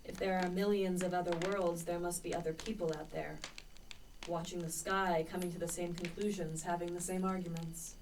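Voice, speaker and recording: Woman playing a low-voiced, dreamy poet-spy, slightly distant. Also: little pitch movement, a little mellow, slight background noise, close to an everyday voice. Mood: excited musing. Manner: musing, lyrical, dramatic pauses. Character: Christopher Marlowe, dreamy, searching young male poet and spy. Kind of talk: radio play acting.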